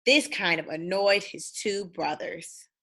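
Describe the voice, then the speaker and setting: A woman's voice: medium pitch, slow, pushed and bright. A woman reading a children's picture book aloud expressively, stopping to put questions to young listeners. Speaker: storybook reader. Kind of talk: animated read-aloud of a children's storybook.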